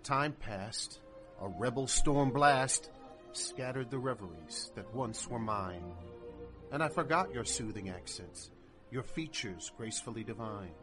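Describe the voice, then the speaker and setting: A man voicing a young husband who recites a love poem slowly and earnestly. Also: mid-range voice, animated, close to an everyday voice. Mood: tender. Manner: slow, earnest poetic recitation. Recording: music underneath.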